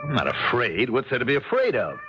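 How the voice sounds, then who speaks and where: A man's voice: medium pitch, huge pitch swings, fairly quick, a little hiss or hum. An actor playing a grumbling, skeptical married man in a 1970s radio drama, speaking in a wry, colloquial way. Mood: puzzled. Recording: muffled 1970s radio broadcast with music.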